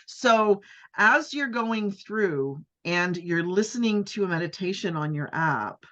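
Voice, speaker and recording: A middle-aged woman hosting a podcast in casual, animated chat, often repeating words and restarting her sentences. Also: lowish voice, slow, lo-fi sound. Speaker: podcast host.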